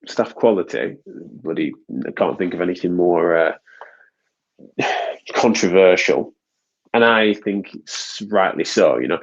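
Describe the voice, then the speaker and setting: A man's voice: lowish voice, gravelly, darkish tone, dull audio. A man hosting a casual live podcast, rambling and self-deprecating, a bit nasal with a stuffy nose. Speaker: podcast host. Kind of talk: casual solo live show.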